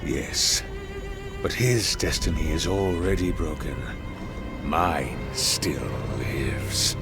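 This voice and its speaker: Ruthless game pirate lord: very deep gravel, slow, scornful menace, music underneath. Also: male voice. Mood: proud, menacing. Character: ruthless, ambitious male pirate king. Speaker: voice actor. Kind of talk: dramatic video game cutscene acting.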